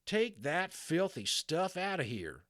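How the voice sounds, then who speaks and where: A man voicing a cranky, self-pitying tiny cowboy with a Texas accent and a gruff, shouting drawl. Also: on the high side, very animated, slow, no room sound, clean studio sound, pitched above the performer's own voice, heavily acted. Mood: angry.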